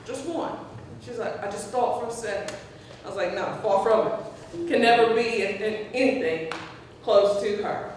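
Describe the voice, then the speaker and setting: A deep-voiced middle-aged woman speaks at her mother's tribute in a heartfelt, halting way, sometimes restarting her sentences. She is heard from far off in a strongly echoing hall. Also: a little mellow. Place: indoor gathering hall. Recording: echoey hall from far off, faint noise.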